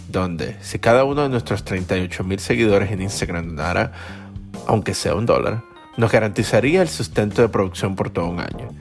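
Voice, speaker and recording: Podcast host with faint music behind. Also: male voice, on the low side. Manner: reads promos, then lively questioning. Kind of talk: scripted intro then interview.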